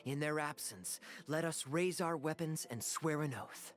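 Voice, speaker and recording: A man voicing a suave, teasing young swordsman and noble prince in a video game, with smooth, theatrical delivery. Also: fairly high pitch, unhurried, natural voice. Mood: solemn, noble. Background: background music.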